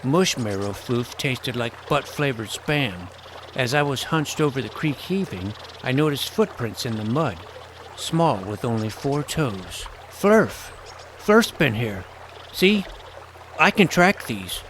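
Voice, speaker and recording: A man's voice: mid-range voice, lively intonation, slow pace, background noise. A man playing an eager boy adventurer who tells his fantasy story in a florid, wry way, with acted dialogue. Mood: excited, distressed. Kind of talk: scripted first-person story narration with acted dialogue. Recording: music under the voice. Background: music.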